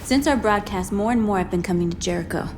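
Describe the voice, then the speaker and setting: Woman acting a fast-talking, militant android rebel. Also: mid-range voice, noisy background, natural voice. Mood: defiant, resolute. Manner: firm, defiant.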